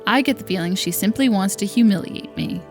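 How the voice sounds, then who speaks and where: A woman's voice: medium pitch, a little fast, soft background music, natural voice. A woman voicing a young servant stepdaughter, wry and resentful but patient, reading visual novel lines aloud. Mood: scornful.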